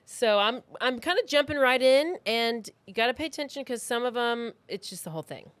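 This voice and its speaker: A woman's voice: a little high, expressive, fairly quick, a bit bright, smooth, dry recording. A woman hosting a true crime podcast, telling the story in a casual, chatty, conversational way. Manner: informal, chatty.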